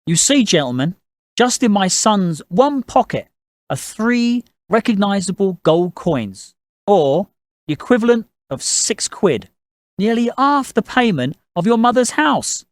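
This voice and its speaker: A man's voice: high voice, big pitch swings, unhurried, no echo, studio quality, voice pushed up. A man voicing an assertive, polite family patriarch in audiobook dialogue, commanding and businesslike. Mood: confident, businesslike. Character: assertive gentlemanly father, a shrewd, moneyed family patriarch.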